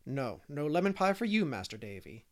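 A no-nonsense older woman from a storybook, acted out by a man narrating. The voice is raised in pitch, firm and matter-of-fact, with a scolding edge. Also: fast, clear, resonant tone, natural voice. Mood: stern scolding. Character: stern, brisk, no-nonsense older woman guardian.

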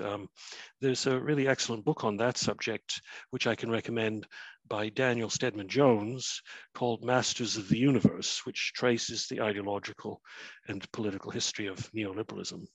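A Canadian man in his fifties, an author giving a prepared remote lecture, measured and full of uh and um fillers. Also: fairly low pitch, steady tone, husky, no room sound. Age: 50s. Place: his flat, remote talk.

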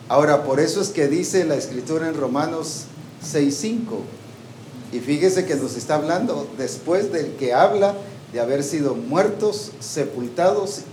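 A man's voice: fairly high pitch, darkish tone. A man preaching a sermon to a congregation, often asking them questions and waiting for their answers. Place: church hall with a congregation. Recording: echoey hall with faint background noise.

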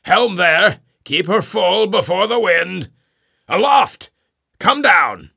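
A man voicing a grim, imperious old sea captain who swings from booming orders to hushed brooding. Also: animated, slow pace, vocal fry, breathy edge, no echo, studio quality, full character voice. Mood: commanding. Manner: stern, booming commands then hushed brooding.

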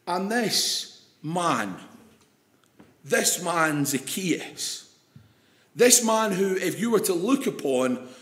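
A Scottish man preaching an evening sermon with a slightly croaky voice, chatty and putting questions to the congregation. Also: fairly high pitch, echoey room. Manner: conversational, asks the congregation questions.

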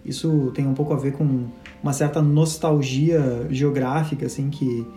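Man co-host, mellow and dark, reflective, echoey room. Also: medium pitch, fairly even intonation, normal speed. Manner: reflective, talks in long stretches. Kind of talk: casual conversational chat. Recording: echoey room with faint music.

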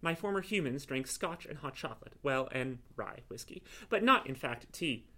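A man's voice: medium pitch, lively intonation, moderate pace, bright, forceful tone. A man voicing a proud, fussy male housecat in a story, with formal and precise speech. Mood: haughty. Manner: formal, precise, indignant phrasing.

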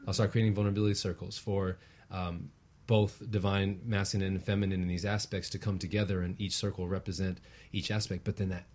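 A man with a slightly low voice talks as a guest on a show. He explains things in a casual, conversational way, as a workshop facilitator would. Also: level tone, fast talker, a little mellow.

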